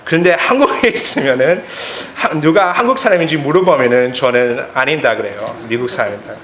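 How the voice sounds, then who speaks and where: A man in his late twenties from the United States with an American accent, preaching haltingly in simple phrases and searching for words. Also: medium pitch, lively intonation, fairly bright tone. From United States, Korean immigrant family. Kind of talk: sermon with personal anecdotes. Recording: echoey room, clear background noise.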